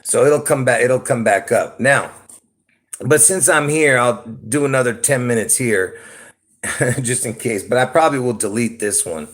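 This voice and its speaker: A man from Lebanon talks into his phone on a solo livestream. His voice sits at an ordinary middle pitch. He wanders from point to point, chuckling and checking in with "all right?". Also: normal speed, a bit bright. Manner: meandering, stops to ask "okay?" and "all right?", chuckles often. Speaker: YouTube livestreamer. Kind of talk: rambling solo livestream monologue.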